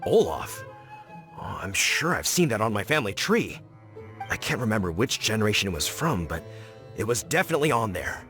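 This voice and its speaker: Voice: mid-range voice, sing-song, a little fast, a bit bright. An actor playing a puzzled, exasperated townsperson, with game music behind.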